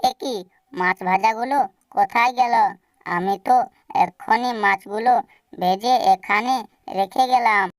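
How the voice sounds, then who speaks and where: Clear-voiced caring cartoon mother bird, a woman acting. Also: fairly high pitch, a little slow, long pauses, recorded from a distance, faint music behind. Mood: puzzled.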